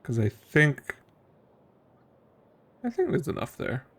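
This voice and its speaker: A streamer giving casual game playthrough commentary, musing and thinking out loud. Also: medium pitch, wildly swooping pitch, slow, slightly rough.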